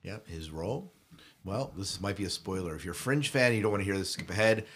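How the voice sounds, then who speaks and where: A man's voice: low-pitched, lively intonation, fairly quick, a bit bright. A man hosting a podcast, chatting casually through news in a conversational, joking manner. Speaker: podcast host.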